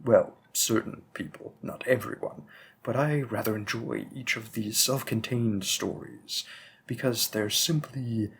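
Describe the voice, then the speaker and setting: A man's voice: fairly low pitch, gravelly, a bit bright, a little hiss or hum. A man playing a patient, bookish vampire bookseller with a hint of menace, musing hesitantly in a conversational roleplay audio drama. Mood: musing, keen. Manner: musing, hesitant, deliberate, with frequent pauses.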